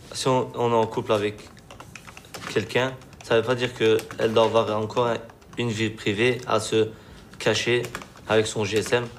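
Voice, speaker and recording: A man's voice: fairly low pitch, a little hiss or hum. A man born in Belgium, answering a judge defensively and hesitantly. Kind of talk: answering questions.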